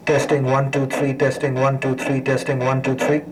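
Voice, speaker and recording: A man doing a monotone microphone test, heard through a radio loudspeaker. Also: medium pitch, normal speed. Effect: played through a radio loudspeaker. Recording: CB radio loudspeaker with clear noise.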